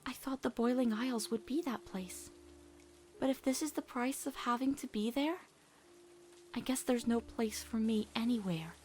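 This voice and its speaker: Earnest, self-doubting teenage girl, played by a woman, high-pitched, halting, dramatic cartoon dub. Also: darkish tone, a little hiss or hum, close to an everyday voice. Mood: sad, self-doubting. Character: guilt-ridden, earnest, stubborn teenage human girl. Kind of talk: dramatic comic dub acting.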